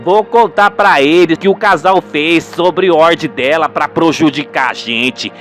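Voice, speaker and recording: Man acting an indignant older country father, slow-talking. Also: on the high side, a bit bright, soft background music. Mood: indignant, gleeful. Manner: folksy, emphatic rustic speech. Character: older rural father, indignant, vengeful, colloquial.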